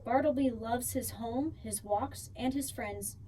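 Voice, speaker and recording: A woman reading a picture book aloud for children in an expressive storytelling style, drawing out key words. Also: a little high, a little slow. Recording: distant mic, faint background noise.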